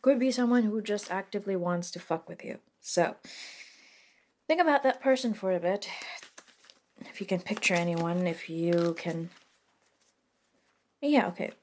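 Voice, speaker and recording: A woman playing a reluctant, sardonic modern witch in a soft-spoken ASMR roleplay, talking casually and hesitantly with dry wit and mild swearing. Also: mid-range voice, unhurried, pauses often, a little hiss or hum, natural voice. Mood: pensive, hesitant.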